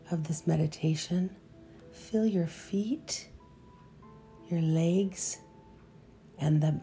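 Slow, creaky low-voiced woman guiding meditation. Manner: soothing, unhurried, gentle. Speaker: meditation guide. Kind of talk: guided meditation. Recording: meditation music behind voice. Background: soft meditation music.

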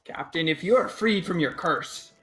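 A man's voice: fairly high pitch, expressive, normal speed, fairly bright tone. A man chatting casually as a crewmate in a multiplayer game, with some playful role-play. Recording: game sounds and noise behind voice. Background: video game sounds.

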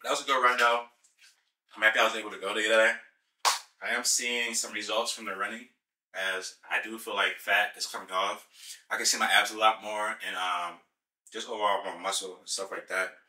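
A young man talks in a relaxed, slangy way to his vlog viewers, his lowish voice edged with brightness. The camera sits a little away from him. The room around him rings. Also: normal speed, distant mic. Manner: laid-back, chatty, slangy. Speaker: fitness vlogger. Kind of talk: casual vlog chat. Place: home kitchen and outdoor field. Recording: roomy sound from off mic.

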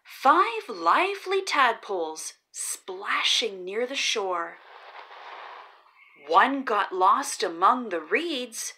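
A woman reading a children's picture book aloud as the channel host, in a slow storytelling manner with drawn-out pauses before each number. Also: a little high, big pitch swings, bright, forceful tone. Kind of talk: read-aloud storytelling for kids.

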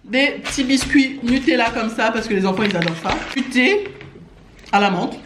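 A woman's voice: a little high, normal speed. A woman chatting casually and informally, with slangy phrasing, as a family vlogger at home. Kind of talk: casual haul vlog chat. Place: indoor living room at home. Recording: echoey room, clear noise, clicks.

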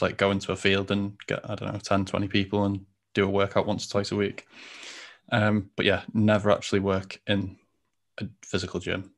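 A young man from the United Kingdom hosting a podcast, chatting in a relaxed way with lots of fillers over a headset mic. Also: low voice. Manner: relaxed, filler-heavy, says "like" a lot. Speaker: podcast host. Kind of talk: casual video-call chat. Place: home room indoors.

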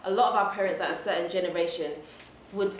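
A woman hosting an interview, quick and encouraging, affirming her guest often. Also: on the low side, steady tone, slight background noise. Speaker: interviewer, host. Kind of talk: casual interview conversation. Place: small indoor studio room. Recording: roomy indoor sound.

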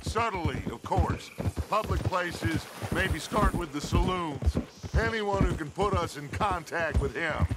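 A man's voice: high-pitched, unhurried. An actor playing a grandiose, persuasive middle-aged male outlaw leader in a video game, speaking in theatrical, oratorical phrases. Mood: determined. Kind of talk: video game character acting. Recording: loud outdoor noise with horse hoofbeats.

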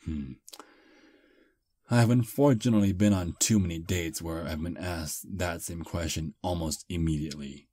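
A man playing a charming male vampire on a first date, soft, relaxed and self-deprecating, close to the mic in ASMR roleplay. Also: sits low, moderate pace, slightly rough, no room sound. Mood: calm.

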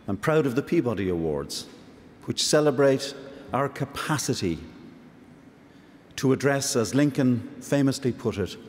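A middle-aged Irish man giving an earnest, measured acceptance speech at a podium in a large ceremony hall. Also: medium pitch, a little mellow. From Ireland. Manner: measured, earnest, rising to passionate emphasis. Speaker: award recipient, journalist. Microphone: podium microphone. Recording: long hall reverb, faint noise.

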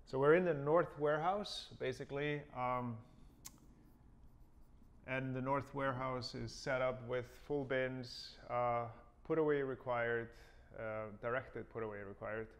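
Gravelly-voiced man explaining software. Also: medium pitch, unhurried. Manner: relaxed, explains step by step with many ums. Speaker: software trainer. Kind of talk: casual screen-share tutorial. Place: room with a brick wall and whiteboard, then voice-over of screen recording.

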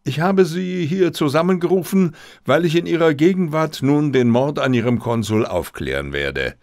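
A man voicing a confident detective in an audiobook, speaking deliberately and politely with theatrical emphasis. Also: a little high, animated, a little mellow, no room sound, clean studio sound, pitched above the performer's own voice. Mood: commanding, deliberate. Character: confident, theatrical male detective addressing suspects.

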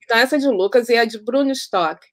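A woman's voice: fairly high pitch, fast talker, no echo. Dry-sounding woman moderating a video panel. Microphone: video call webcam.

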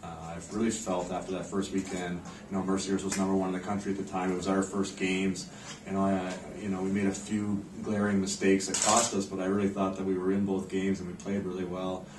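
A North American man in his forties, a coach answering post-game questions in a measured, understated way with hesitant pauses. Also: low voice, completely flat delivery. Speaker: head ice hockey coach. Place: indoor press room in front of a backdrop. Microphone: camera microphone at a distance. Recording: roomy, distant mic, clear background noise.

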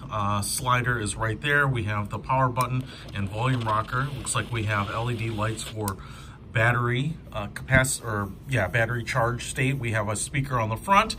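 A man's voice: mid-range voice, moderate pace, noisy background. A man giving a casual hands-on product review in an informal, enthusiastic, conversational way.

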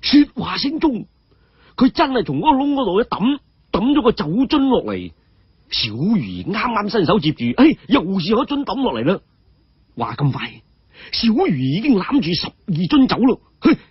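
High, lively male Cantonese storyteller. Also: moderate pace, breathy edge, no echo, lo-fi sound. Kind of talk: animated wuxia audio storytelling.

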